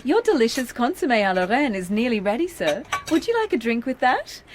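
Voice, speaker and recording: A woman's voice: on the high side, very animated, brisk, background noise. An actress playing a frank, feisty, wounded young woman in love in a naturalistic radio drama, blunt and teasing in manner.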